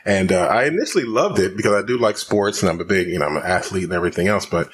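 A man from Houston, Texas, a physician guest talking fast with many fillers in a podcast interview. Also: low-pitched, animated, slight background noise. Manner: rapid, many fillers and restarts.

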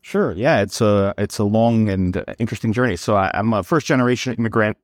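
A man's voice: fairly low pitch, a little fast, no echo, clean studio sound. A middle-aged man, a company founder born in Serbia and raised in New York, answering interview questions fluently but often repeating words as he goes. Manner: fluent storytelling with frequent word repeats.

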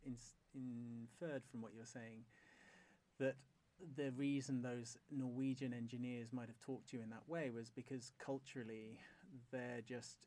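A man's voice: mid-range voice, little pitch movement, unhurried, darkish tone. A man hosting a podcast interview, thinking aloud with frequent restarts and hedging. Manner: thoughtful, hesitant, frequent restarts. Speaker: podcast host. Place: Norway.

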